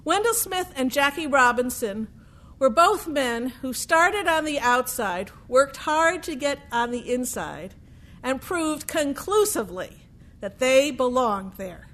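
A woman telling a true story aloud in a deliberate way, with dramatic pauses and repetition. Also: high-pitched, lively intonation, fairly bright tone.

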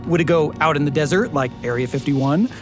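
A man's voice: a little high, fairly quick, music in the background. A man co-presenting a podcast, giving a scripted story introduction on a studio mic.

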